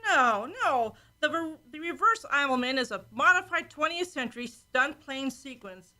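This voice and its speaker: Woman as feisty starship officer, high pitch, forceful bright tone, argumentative, competitive, sci-fi radio drama. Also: animated, normal speed. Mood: defiant. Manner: challenging, insistent. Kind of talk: science fiction radio play acting.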